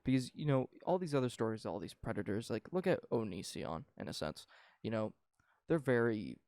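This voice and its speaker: Man, 19, gravelly, animated pitch, casual rambling chat. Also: fairly quick, no room sound, clean studio sound. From Canada. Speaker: podcast co-host.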